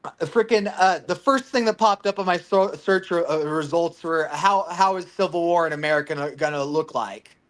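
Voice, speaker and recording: A man's voice: fairly high pitch, normal speed. A man at home on a group call, talking in a laid-back, profane way and laughing often. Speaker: call participant. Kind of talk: casual group chat.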